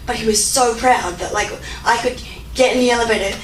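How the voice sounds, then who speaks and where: Young Australian woman, slightly high, speaks at a Q&A, chatty, heard from afar in a reverberant hall. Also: a little fast. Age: 20s. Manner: chatty, many fillers and restarts. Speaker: guest speaker. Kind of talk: audience Q&A answer. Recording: echoey room, mic far off, faint noise.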